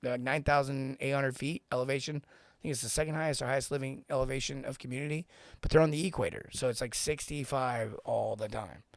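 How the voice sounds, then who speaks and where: A man in his forties hosting a casual live-stream coaching talk, joking and rambling off into asides with informal, animated banter. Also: mid-range voice, fast talker, no room sound. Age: 40s. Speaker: host and sales coach for plumbers.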